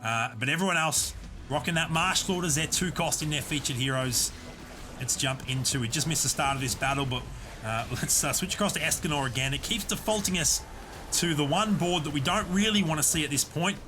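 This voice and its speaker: A man casting a live online game tournament, giving steady, explanatory play-by-play. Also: fairly high pitch, fairly quick, over music. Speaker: esports caster and streamer. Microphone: desk USB condenser mic.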